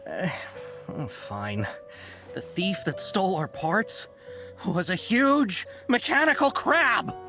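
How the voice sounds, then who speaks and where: A man's voice: sits high, huge pitch swings, slow pace, exaggerated voice. A man voicing a nervous male caravan merchant who talks in a hesitant, rambling and apologetic way. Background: game music.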